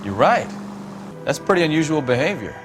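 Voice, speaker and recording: An actor playing a blunt, cynical, hard-boiled American police partner in a 1940s crime game. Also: male voice, fairly high pitch, sing-song, fairly quick, noisy background. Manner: blunt, sardonic.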